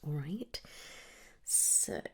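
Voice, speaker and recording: A woman softly whispering an ASMR roleplay as a gentle, reassuring hair clinic specialist. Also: drawn-out words. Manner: soft, gentle, reassuring clinician speech, often dropping into whisper. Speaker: ASMR performer playing a trichologist at a hair clinic.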